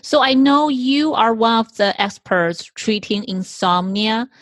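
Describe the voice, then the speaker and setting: Hoarse woman hosting a podcast. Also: a little high, lively intonation, a little slow, no room sound, clean studio sound. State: hoarse from a cold. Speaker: podcast host. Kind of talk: conversational interview.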